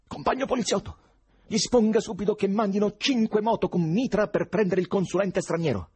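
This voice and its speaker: Guy doing a worked-up poet stuck in an asylum, husky shouty voice, swoops high, indignant. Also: wildly swooping pitch, moderate pace, full character voice. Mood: urgent, commanding. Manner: shouting, stammering, agitated outbursts. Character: frantic, indignant male poet held in an asylum.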